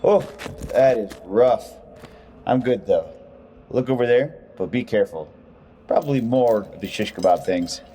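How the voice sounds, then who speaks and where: A man's voice: lowish voice, a little slow, pauses often, slight background noise. A man playing a plucky, folksy dwarf adventurer in a fantasy audio drama. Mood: relieved, eager. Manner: folksy, excitable exclamations. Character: gruff, plucky male dwarf adventurer with an axe. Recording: music underneath.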